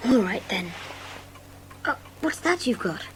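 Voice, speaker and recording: A woman's voice: fairly high pitch, big pitch swings, measured pace. A woman playing a reluctant but curious schoolboy in a dramatised audiobook, with old-fashioned, questioning speech. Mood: grumbling. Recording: clear background ambience noise.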